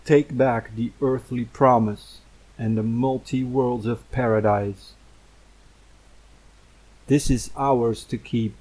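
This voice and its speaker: Slow, dark-voiced man reciting poetry. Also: medium pitch, rough recording. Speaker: poem reader.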